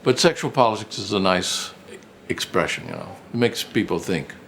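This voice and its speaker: A man's voice: fairly low pitch, moderate pace, a bit bright, a little hiss or hum. An older man, a retired professor and writer, reminiscing casually in an interview, rambling and repeating phrases for emphasis.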